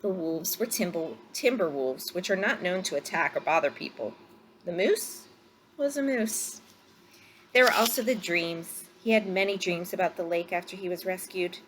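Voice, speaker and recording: A woman narrating a story aloud from a script, phrasing it deliberately for drama. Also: on the low side, animated, a bit bright, slight background noise, poor audio.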